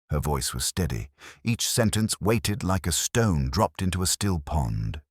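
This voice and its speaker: Very deep male voice, detective fiction narration, measured literary delivery, formal, close dry sound. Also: no echo. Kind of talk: scripted first-person detective story narration.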